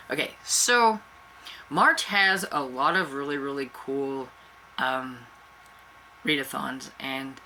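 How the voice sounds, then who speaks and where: A woman with a cold, stuffy-nosed and a little raspy, chatting casually to camera as a book channel host and rambling with fillers and restarts. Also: very deep voice, sharp tone, slight background noise, poor audio.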